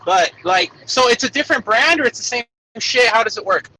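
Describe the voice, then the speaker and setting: A man with a shrill voice, swearing freely in crude casual banter, calling in on a Bluetooth headset from his truck. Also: lively intonation, pushed and bright. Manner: crude, swears constantly, quick laughs. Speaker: podcast co-host calling in. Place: truck cab while working. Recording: tinny headset line from a truck. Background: truck noise.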